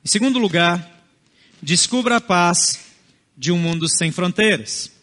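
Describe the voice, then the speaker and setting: A Brazilian man who first spoke another language at home, a pastor preaching an earnest sermon in short, measured phrases. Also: high voice, expressive, sharp tone, long reverb tail. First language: not Portuguese.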